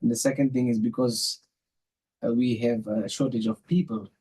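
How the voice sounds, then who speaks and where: A man's voice: mid-range voice, steady tone, moderate pace, warm tone, slight background noise, rough recording. A man with a South African accent sharing religious reflections conversationally as a scholar, repeating phrases for emphasis. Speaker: Islamic scholar, podcast guest.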